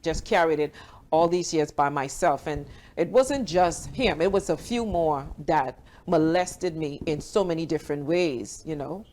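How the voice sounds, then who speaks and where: Low-voiced woman giving personal testimony. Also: unhurried, a little mellow, a little hiss or hum. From the Bahamas. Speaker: interview guest.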